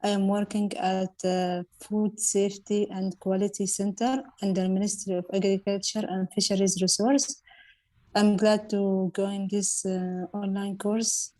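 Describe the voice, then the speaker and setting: Mellow, flat-toned woman from Oman. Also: medium pitch, unhurried, low-quality recording. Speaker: food safety worker, training participant. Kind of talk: formal self-introduction.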